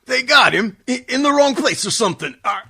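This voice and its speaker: An actor playing a gruff, irritable police detective in an old-time radio drama, with a gravelly voice over some background noise. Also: male voice, very high voice, animated, brisk, pushed and bright, full character voice. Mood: flustered, defensive.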